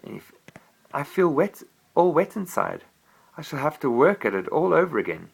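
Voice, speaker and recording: Boastful young dragon, read by a dad, raised pitch, bouncy. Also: male voice, big pitch swings, moderate pace, vocal fry, husky, exaggerated voice. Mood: childlike boasting. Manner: eager, boastful, then sulky.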